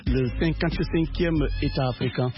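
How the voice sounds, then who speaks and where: A man co-hosting a radio talk show, pausing on filler sounds and agreeing with his partner often. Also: a little high, music in the background. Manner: affirming, filler pauses. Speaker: radio co-host. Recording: dull lo-fi radio broadcast.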